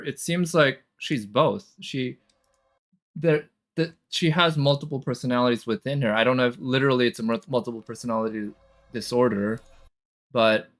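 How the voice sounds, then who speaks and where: A woman streamer giving casual reaction commentary, speculating and thinking aloud as the thoughts come. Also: very deep voice. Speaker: streamer reacting to a game trailer. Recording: music behind the voice. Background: game trailer music.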